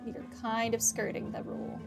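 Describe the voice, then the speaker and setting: A woman's voice: a little high, completely flat delivery, moderate pace. A woman giving casual commentary as she streams a video game playthrough. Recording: voice over clear game music. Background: game music.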